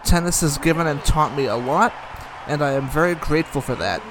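Higher-pitched man commenting over noise. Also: animated, brisk. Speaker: reaction host commenting on a documentary. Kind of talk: casual watch-along commentary, reading aloud at times.

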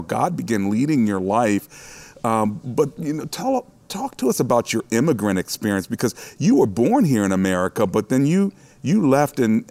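A man's voice: mid-range voice, a little mellow. A man hosting a church interview, pastoral and encouraging, turning to address listeners directly. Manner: pastoral, encouraging, prays aloud.

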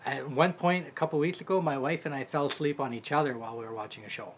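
A man's voice: medium pitch, fast, a little hiss or hum. A man in his forties chatting casually with friends, dropping in dry one-liners. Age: 40s. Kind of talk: casual chat.

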